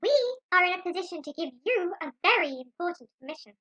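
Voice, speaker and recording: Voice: very high-pitched, animated, fast, sharp tone, dry recording, lo-fi sound, exaggerated voice. An actor voicing a pompous, jaded talking mouse in an amateur audio drama. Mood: scheming. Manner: pompous, exasperated.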